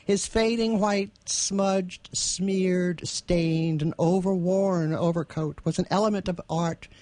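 A woman's voice: on the low side, fairly even intonation, slow, dark tone, no room sound. A woman co-hosting a radio show, chatting casually and reading literary prose. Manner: chuckles often while telling anecdotes.

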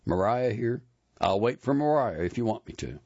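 A man voicing a sly, showy, smooth-talking middle-aged man with a boastful drawl. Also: lowish voice, sing-song, moderate pace, darkish tone, no room sound, highs cut off, voice pushed up.

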